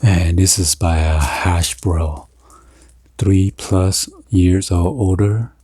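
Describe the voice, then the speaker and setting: A man with a very low, soft-edged and dark voice hosts an ASMR unboxing. He speaks slowly and haltingly, murmuring short remarks item by item. Faint noise, clicks and crinkling packaging sit behind him. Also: fairly even intonation, breathy edge, dry recording, studio quality. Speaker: unboxing video host.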